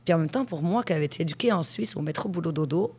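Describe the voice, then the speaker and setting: Tell it like you're chatting with a friend: you're a woman in her forties, born in Switzerland, speaking from the lower end of your range and keeping the story frank and lively. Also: racing, husky. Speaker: guest, hypnotherapist. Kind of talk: conversational interview.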